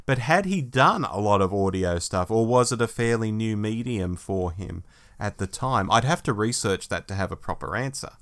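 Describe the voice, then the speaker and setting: A man co-hosting a podcast in casual discussion, speaking in a measured, agreeable and slightly tentative way. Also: lowish voice, animated, a bit bright. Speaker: podcast co-host.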